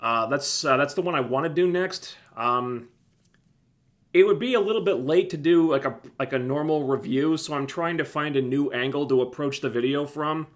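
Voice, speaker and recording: A man hosting a casual livestream, with a phlegmy, scratchy throat, talking in a relaxed, rambling way that keeps breaking off and restarting. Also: a little high, normal speed. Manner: relaxed, rambling, self-interrupting. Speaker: livestream host reviewing TV shows. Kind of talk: casual livestream chat answering viewers.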